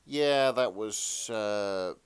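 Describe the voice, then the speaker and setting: A man co-hosting a casual podcast, talking in a halting, slightly hesitant way. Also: on the low side, smooth, no echo. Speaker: podcast co-host.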